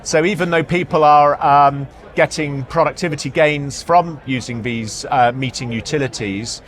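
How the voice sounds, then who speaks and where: A man speaking as an executive in a product interview, halting, often repeating words and hesitating as he explains. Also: a little high, a bit bright. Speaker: marketing executive being interviewed. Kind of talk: product interview at a trade show. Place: trade show floor.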